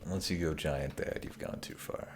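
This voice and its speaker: A man's voice: a bass voice, steady tone, normal speed, noisy background. A man streaming a video game, chatting casually and jokily with lots of trailing fillers. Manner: rambling, jokey, trailing filler words. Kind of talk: casual chat while gaming.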